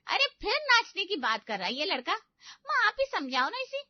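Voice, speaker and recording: A woman playing a young, bossy elder sister in an animated comedy story, scolding and teasing with sarcasm. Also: very high voice, normal speed, no echo. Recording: dull, lo-fi studio voice-over.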